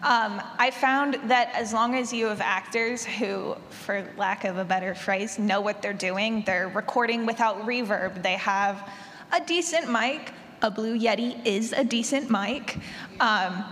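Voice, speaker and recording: A woman's voice: a little high, a bit bright. A woman speaking conversationally on a panel as an audio drama creator and sound designer. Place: large convention room, live audience. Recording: echoey hall, long reverb.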